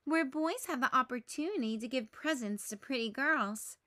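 Woman as a crabby little girl, pushy, emphatic, high-pitched, bright storybook voice. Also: moderate pace. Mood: smug.

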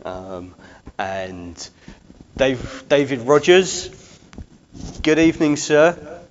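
A man in his forties hosts a talk show from a studio desk, speaking slowly and with emphasis. He has a presenter's steady delivery, and his lapel mic gives the sound a slightly dull, muffled quality. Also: mid-range voice. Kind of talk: broadcast interview hosting.

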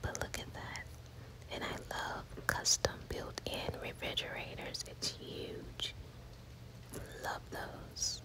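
A woman whispering softly and slowly as she walks through a house, admiring each room in a gentle ASMR style. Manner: soft unvoiced whisper, slow and admiring. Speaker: ASMR house tour host. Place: inside an empty house, walking through rooms. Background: rain sounds.

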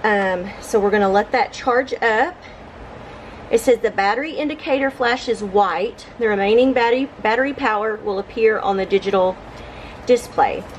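A middle-aged woman, casually narrating a home vlog in an informal, halting, think-aloud way. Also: mid-range voice, a little hiss or hum, poor audio. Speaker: home vlogger. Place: living room of a home, indoors. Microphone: camera mic on a tripod nearby.

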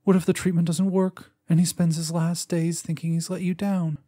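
A man's voice: a little high, little pitch movement, moderate pace, dark tone, voice pushed up, lightly acted. A man voicing the worried, tender mother of a sick boy in an audiobook story.

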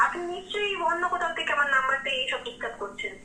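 Young woman, West Bengal Bengali accent, very high and piercingly bright, indignant protests, echoey call with faint noise. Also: animated, racing. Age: young adult. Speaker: prank call recipient. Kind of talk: phone conversation.

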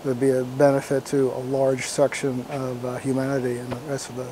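Flat, mellow-voiced man doctor. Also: mid-range voice, a little hiss or hum, rough recording. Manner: halting, thoughtful. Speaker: emergency physician. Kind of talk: testimonial interview. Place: outdoors by a log cabin.

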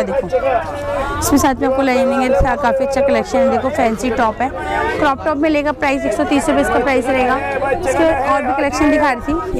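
A woman vlogging her way through a busy outdoor street market, listing clothes and prices in a steady sales patter, recorded on a handheld camera. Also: high voice. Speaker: shopping vlogger. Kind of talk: market walkthrough vlog. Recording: loud crowd noise outdoors. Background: loud crowd chatter.

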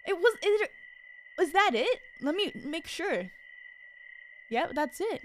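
A woman's voice: extremely high pitch, very animated, a little slow, slight background noise. A young woman chatting casually on a livestream as she plays a horror game at home, speaking into a close mic. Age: young adult. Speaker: game streamer. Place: small room at home, indoors. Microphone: boom-arm microphone close to the mouth, with headset.

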